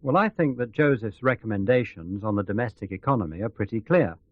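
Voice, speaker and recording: Male actor for economics expert, radio news drama. Analytical, doubting, mid-pitched with expressive swings, dry and a little muffled. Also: brisk, dry recording. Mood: sceptical, analytical. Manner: considered, explanatory. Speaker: expert commentator.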